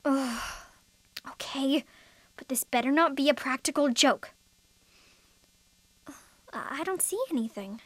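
A woman's voice: high voice, slow, pauses often, a bit bright, no room sound. A woman playing a skeptical, exasperated young girl in an audio drama, grumbling and doubting.

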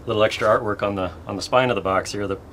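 A man's voice: fairly low pitch, steady tone, racing, a bit bright, a little hiss or hum. A man casually explaining an audio library to visitors as a staff member showing them around.

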